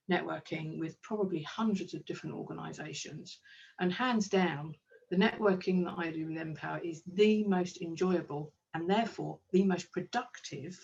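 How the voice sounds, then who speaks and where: A woman's voice: on the low side, far from the mic, lo-fi sound. A woman, a kinesiologist and business owner, talking enthusiastically in a casual interview with plenty of ums. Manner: enthusiastic, chatty, with frequent ums.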